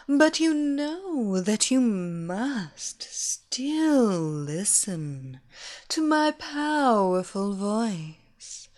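A woman voicing a seductive, domineering female villain who hypnotizes her captive, speaking in slow, sultry, repetitive phrases with breathy whispers. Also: mid-range voice, darkish tone. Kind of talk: erotic hypnosis audio story.

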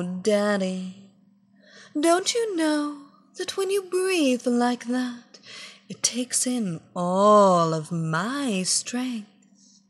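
Woman doing young female hypnotist, storybook reading, very slow, coaxing, commanding, lively rising and falling voice. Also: mid-range voice.

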